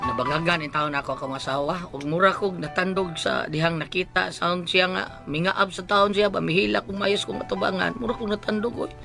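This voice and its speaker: A man with a Filipino Cebuano accent playing an excitable, lottery-obsessed taxi-driver husband in a comedic radio drama, exclaiming and muttering to himself. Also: high-pitched, a little fast, creaky, few pauses, music in the background. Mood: rueful.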